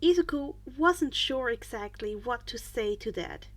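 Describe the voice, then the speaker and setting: High-voiced woman narrating a story, unhurried. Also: animated, fairly bright tone. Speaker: podfic narrator reading fan fiction. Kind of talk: expressive audiobook-style story narration.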